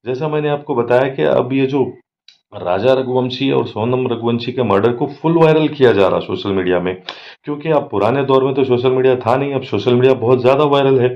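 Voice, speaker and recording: Man, Indian accent, lower-pitched, crime commentary, English words mixed in, muffled lo-fi recording. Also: brisk. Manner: mixes in many English words. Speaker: advocate and social activist, channel host. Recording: dull lo-fi microphone.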